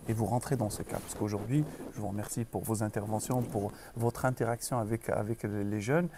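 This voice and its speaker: Un homme d'âge moyen, originaire du Maroc, directeur d'école en entretien, parle de façon posée avec beaucoup d'hésitations. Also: male voice, lowish voice, steady tone, noisy background. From Morocco. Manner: measured, many pauses and restarts. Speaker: school director. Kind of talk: interview.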